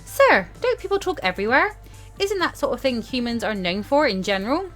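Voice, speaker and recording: Visual novel student girl, voiced by a woman: high-pitched, awkward, eager, hesitant questions, sheepish temper. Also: big pitch swings, a little fast, fairly bright tone, soft background music. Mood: questioning.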